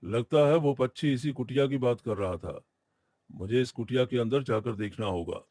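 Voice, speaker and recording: A man voicing an earnest, troubled villager who asks questions and promises help in an animated story. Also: medium pitch, moderate pace, no room sound, clean studio sound, lightly acted. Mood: determined. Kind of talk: Hindi animated moral story acting.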